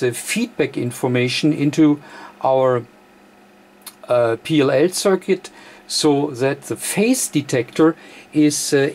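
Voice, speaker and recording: A man who is a non-native English speaker gives a technical walkthrough as an electronics presenter, speaking haltingly with many uhs. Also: mid-range voice, a little mellow. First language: not English. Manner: halting, hesitant, frequent uhs. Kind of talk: technical walkthrough of a radio modification. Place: indoor electronics workbench, off camera.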